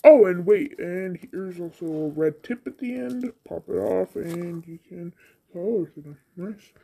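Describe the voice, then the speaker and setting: A video creator chatting casually and haltingly through an unboxing, with the voice run through a voice changer. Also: mid-range voice, gravelly, dark tone, slightly rough. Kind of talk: casual chat. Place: indoors at a tabletop.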